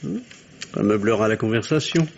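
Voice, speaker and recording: A man's voice: on the low side, expressive, normal speed, vocal fry, a little hiss or hum. An actor playing a bitter, sarcastic middle-aged family man in an old French radio mystery play, sardonic and mock-polite, on a muffled recording. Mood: sarcastic, biting.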